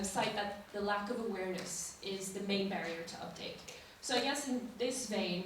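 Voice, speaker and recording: A woman moderating a live panel in a relaxed, conversational way, with lots of ums. The sound is distant and reverberant, with faint background ambience. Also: mid-range voice, a little hiss or hum. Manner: friendly, conversational, uses many fillers. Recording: echoey hall, voice from a distance.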